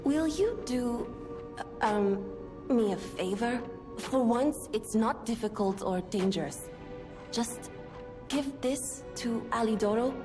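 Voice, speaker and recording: A gentle young game heroine, speaks earnestly and hesitantly. Her voice is on the high side and rings with long reverb over clear music. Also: slow pace. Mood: shyly hopeful. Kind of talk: scripted game drama.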